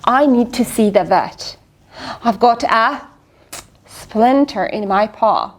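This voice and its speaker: A woman's voice: fairly high pitch, slow, voice pushed down. A woman voicing a gloomy, self-pitying young male dog in a read-aloud storybook, with a mopey, whiny way of talking.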